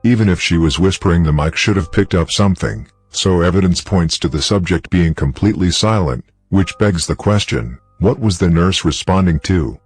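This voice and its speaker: A man narrating a scripted horror story as a series of clinical reports, in a formal and measured manner. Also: low voice, husky, soft background music.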